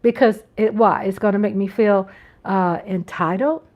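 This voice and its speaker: A woman's voice: mid-range voice, steady tone, moderate pace, muffled recording. An American woman hosting a casual live-stream chat from home, talking in an earnest, rambling way with frequent restarts. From United States. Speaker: channel host.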